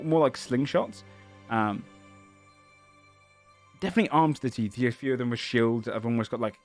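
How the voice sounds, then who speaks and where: A man narrating a tabletop game as game master, describing the scene in a searching, descriptive way. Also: mid-range voice.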